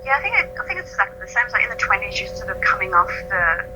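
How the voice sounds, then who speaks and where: A woman's voice: mid-range voice, very animated, very fast, noisy background. A woman in her late thirties, a writer being interviewed, talking in a thoughtful, reflective way and laughing easily. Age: 30s. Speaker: guest writer. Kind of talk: conversational interview.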